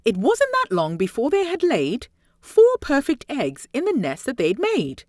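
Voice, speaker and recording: A woman reading a rhyming children's story aloud in an expressive, theatrical way with deliberate phrasing and dramatic emphasis. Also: high voice, dry recording. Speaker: storybook reader.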